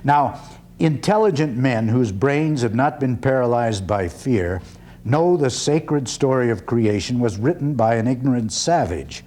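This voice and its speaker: Guy doing a skeptical old-time orator in a one-man play, earnest, rhetorical, big deliberate pauses, mid-range voice. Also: medium pitch, dark tone. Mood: scornful. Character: earnest, skeptical male 19th-century orator recounting his doubts. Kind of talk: dramatic reading of a one-man stage play.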